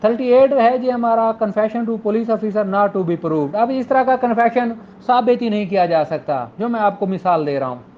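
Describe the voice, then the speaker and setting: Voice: mid-range voice, moderate pace, hardly any pauses, a little hiss or hum. A law lecturer with a Pakistani accent explaining informally with examples, mixing in English legal terms. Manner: Urdu speech mixed with English legal terms. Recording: dull, lo-fi audio.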